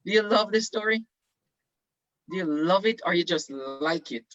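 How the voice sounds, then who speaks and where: A male teacher leading an online class discussion, halting with many ums as he prompts students with questions. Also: sits high, animated, a bit bright, no echo. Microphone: video call. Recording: dull, dry video call audio.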